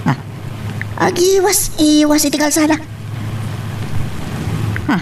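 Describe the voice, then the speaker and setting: Slow, high-voiced spiteful Ilocano woman acted out. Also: darkish tone, far from the mic, slight background noise, full character voice, rough recording. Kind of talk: Ilocano audio drama acting.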